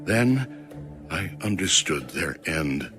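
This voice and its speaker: Low, slow man reading over music. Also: big pitch swings, fairly bright tone. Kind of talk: solemn scripted scripture reading.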